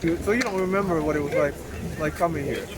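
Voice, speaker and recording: A man running a casual street interview, asking short questions and nudging answers along with quick replies. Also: a little high. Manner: brief prompts and frequent backchannel replies. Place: outdoors on a city street. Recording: street noise behind.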